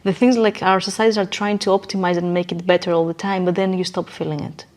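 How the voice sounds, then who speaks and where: A woman's voice: fairly low pitch, fairly even intonation, fast talker, a little mellow. A woman guest in an interview, explaining her ideas conversationally in emphatic, short declarative phrases and repeating her key points.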